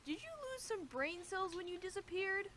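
A woman's voice: very high voice, normal speed, fairly bright tone, a little hiss or hum. A woman voicing a cheeky little male baby dragon in a cartoon, childlike and matter-of-fact. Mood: puzzled, teasing. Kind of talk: animated fan-series dialogue.

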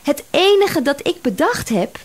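High-pitched, flustered young woman detective. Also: big pitch swings, measured pace. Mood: indignant. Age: young adult. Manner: chatty, apologetic, exclaiming. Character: flustered, chatty female private detective around thirty, indignant under pressure. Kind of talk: Dutch radio drama acting.

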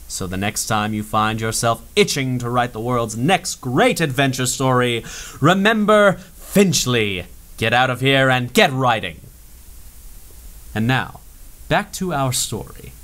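A showy old-time radio announcer. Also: male voice, medium pitch, fairly bright tone. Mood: salesy. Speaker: show announcer. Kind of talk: 1930s-style radio announcing.

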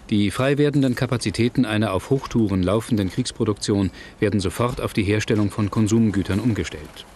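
Low-pitched male documentary narrator over music. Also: a little mellow. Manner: measured, factual. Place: studio voice-over, music behind.

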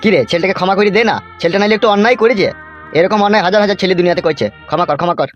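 Man as fast, high-pitched peacemaking village uncle. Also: highs cut off, close to an everyday voice. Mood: coaxing. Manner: coaxing, advising. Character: peacemaking older village uncle, henpecked husband. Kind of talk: comic cartoon dialogue. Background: faint music.

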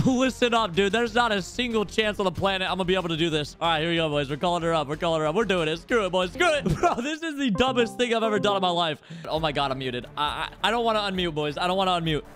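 High, bright, hyped young American man. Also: fast talker, hardly any pauses. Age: 20s. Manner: hyped, slangy, giddy. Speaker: YouTube gaming prankster. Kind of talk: excited commentary to viewers. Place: small room indoors, desk setup. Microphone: desk microphone close to mouth.

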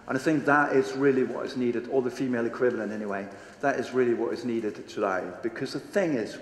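Blunt Danish man in an echoing hall. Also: mid-range voice. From Denmark. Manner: casual and blunt, swears freely, quizzes the audience. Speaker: conference speaker. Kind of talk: energetic stage talk. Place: large hall with a seated audience. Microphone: handheld microphone on stage. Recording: echoey hall with long reverb, faint ambience.

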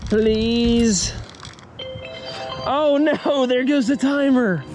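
High-pitched guy hollering through a fishing challenge on a lake, music playing behind. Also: very slow. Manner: excitable, hollers and laughs when a fish bites. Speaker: fishing youtuber. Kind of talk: energetic fishing vlog narration. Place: outdoors on a small boat on a lake.

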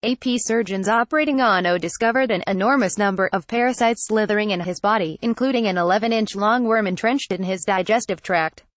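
A woman reading a scripted news report in an even, flat delivery. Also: medium pitch, fairly quick, few pauses, dry recording. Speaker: news reader.

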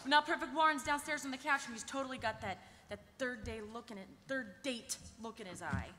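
A woman's voice: a little high, a little fast, lightly acted. A young woman playing an earnest, fretful young artist, gushing and melodramatic, at a live table read. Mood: flustered. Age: young adult. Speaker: actress. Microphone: table microphone. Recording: echoey convention hall, faint noise.

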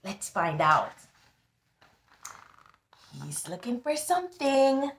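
A woman in her thirties reading aloud to young children as a tutor, pausing to teach and speaking to them directly in an animated, instructive way. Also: on the high side. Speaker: tutor hosting a children's book club. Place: small indoor room. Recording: echoey small room.

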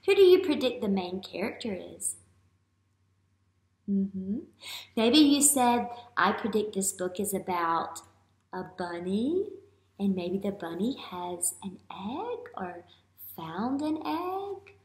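A woman in her thirties, a teacher reading a picture book aloud to young children and asking them questions with drawn-out emphasis. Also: medium pitch, sing-song, a little mellow. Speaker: elementary school teacher. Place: small classroom-like room, indoors. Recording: roomy indoor echo.

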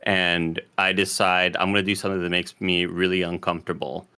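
A man co-hosting a casual podcast from home, talking in a halting, deadpan way with many pauses. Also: sits low, clear, resonant tone. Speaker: podcast co-host.

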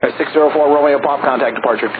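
A man's voice: medium pitch, fairly even intonation, very fast, slightly rough, no room sound, narrow, tinny audio. An American man working as an air traffic controller, giving procedural radio instructions with some hesitation. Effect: aviation radio. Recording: noisy, band-limited aviation radio transmission.

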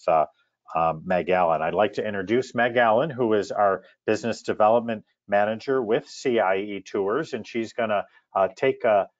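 A man hosting a webinar, introducing the guest speaker with halting speech full of fillers. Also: on the low side, dry recording, lo-fi sound. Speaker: webinar host.

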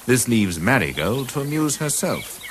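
A man's voice: medium pitch, big pitch swings, normal speed, natural voice. A man narrating a radio drama as a storyteller setting the scene. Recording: faintly hissy old radio broadcast.

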